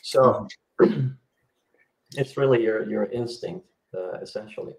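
A man's voice: fairly low pitch, slow, gravelly, a little mellow, roomy sound. A middle-aged man from Yugoslavia, a healer guest explaining his work over a video call, with many fillers and restarts. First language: Hungarian. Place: indoor home room.

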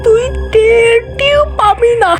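A woman's voice: very high-pitched, takes it slowly, music in the background. A woman voicing a threatening, taunting female ghost witch in a children's cartoon fairy tale. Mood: menacing, taunting. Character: menacing female ghost witch who threatens to snap necks.

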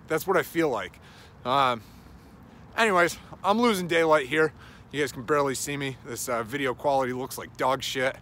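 A man's voice: medium pitch, moderate pace, fairly bright tone, a little hiss or hum. A man in his thirties with a runny nose rambles profanely through a casual walking vlog, filmed on a phone at arm's length on a suburban street at dusk. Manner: rambling, profane, many uh fillers. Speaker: gym owner vlogger. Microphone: phone camera held at arm's length.

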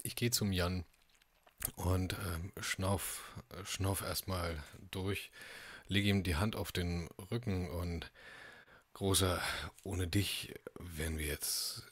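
A man with a deep, rough-edged voice improvises in a tabletop game. He speaks deliberately, repeating words for weight. The recording is dry and close. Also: steady tone, no room sound. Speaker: tabletop roleplaying player. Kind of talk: improvised in-character roleplay. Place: indoor home, video call.

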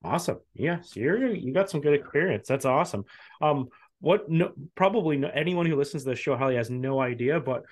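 A man's voice: a little high, expressive, a little fast. A man, hosting a casual interview over a video call. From Canada. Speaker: podcast host. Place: small room, on a video call. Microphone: video call, close to camera.

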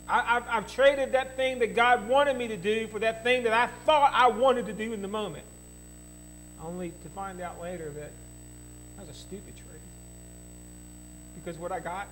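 Middle-aged male pastor, high-pitched, dynamic pulpit delivery, podium mic, hummy recording. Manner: preaching with big rises in volume on key phrases. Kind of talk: sermon. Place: church hall with projector screen and podium. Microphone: podium microphone. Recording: mains hum behind the voice.

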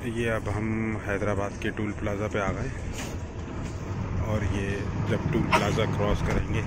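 Man vlogging over loud road noise. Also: lowish voice, completely flat delivery, a little slow. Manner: chatty, keeps adding "theek hai ji". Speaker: travel vlogger. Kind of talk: casual guided road-trip narration. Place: outdoors on city streets and inside a bus. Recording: loud traffic and wind noise.

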